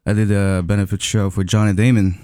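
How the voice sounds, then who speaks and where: A man's voice: fairly low pitch, little pitch movement, fairly quick, warm tone, clear, resonant tone, no echo. A man from the Philippines with a Filipino accent, a native Tagalog speaker, chatting casually as a singer-songwriter guest in a radio studio. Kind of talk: casual conversational storytelling. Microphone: close studio microphone.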